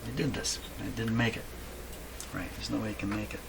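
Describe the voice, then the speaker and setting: A man giving unscripted, halting commentary as he thinks aloud while doing hands-on repair work. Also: on the low side, little pitch movement. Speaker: radio repair hobbyist narrating a workshop video. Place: indoor radio repair workshop. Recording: clearly noisy workshop recording.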